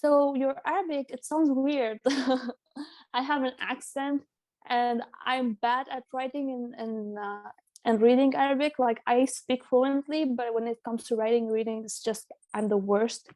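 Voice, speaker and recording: A woman's voice: sits high, fairly even intonation, a little mellow, no room sound. A young woman from Venezuela talking casually as an interview guest, laughing often and restarting her sentences. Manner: frequent laughter, halting restarts.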